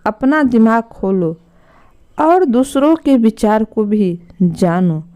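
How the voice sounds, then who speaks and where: A woman voicing a wise, patient king who instructs his sons with measured authority in a storybook tale. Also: fairly high pitch, animated, warm tone, clean tone, lo-fi sound. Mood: calm, advising.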